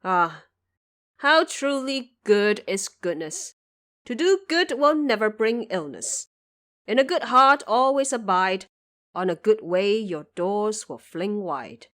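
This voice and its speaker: A woman voicing a noble, reflective male emperor in an audio drama, speaking in a stately, formal, measured way. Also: medium pitch, animated, fairly bright tone. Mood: reverent, moralizing.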